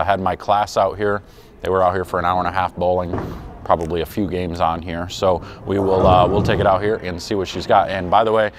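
A man in his forties giving an easygoing, unscripted product review in a casual, conversational way. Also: low-pitched. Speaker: product reviewer and bowling coach. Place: indoors in a pro shop and bowling alley. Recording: clear background noise of bowling alley.

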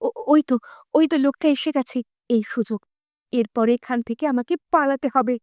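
A woman's voice: high voice, normal speed, darkish tone, no room sound, studio quality, close to an everyday voice. A woman voicing a brave, defiant village girl in a cartoon, sounding determined. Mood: determined, tense. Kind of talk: dubbed cartoon dialogue.

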